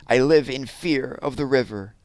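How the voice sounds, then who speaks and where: Nervous storybook fire, played by a male reader, raised pitch, emphatic rhyming delivery. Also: normal speed, no echo, lightly acted, poor audio. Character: nervous sputtering fire afraid of water.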